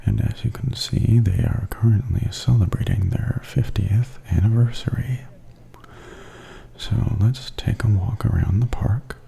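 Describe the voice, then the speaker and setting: Male ASMR guide, very breathy soft voice, slow unhurried delivery, creaky edge, soothing. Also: lowish voice, slight background noise. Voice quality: soft, breathy, near-whisper. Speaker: host and tour guide. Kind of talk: ASMR, softly spoken tour commentary.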